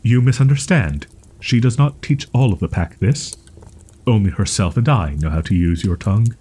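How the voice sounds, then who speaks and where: A man voicing a shy, proud young wolf-boy in an audio roleplay, speaking in archaic formal phrases with halting, flustered stammers. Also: fairly low pitch, animated, mellow, slightly rough. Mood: earnest, explaining. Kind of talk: audio roleplay, character acting, ASMR.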